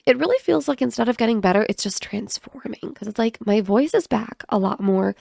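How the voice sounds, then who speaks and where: A woman's voice: on the high side, expressive, fairly quick, creaky, darkish tone, no echo, studio quality. A woman hosting a gaming commentary video, sick and congested, with a cough drop in her mouth, chatting casually with self-mocking asides. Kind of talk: casual commentary and reading aloud.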